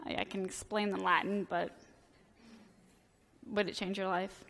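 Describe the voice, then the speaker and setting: A woman in her thirties, a priest, giving a casual, joking sermon with a hoarse, fading voice, chatty and self-deprecating with many filler words. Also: mid-range voice, little pitch movement, a little slow, a bit bright, slight background noise. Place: church sanctuary. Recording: long church reverb.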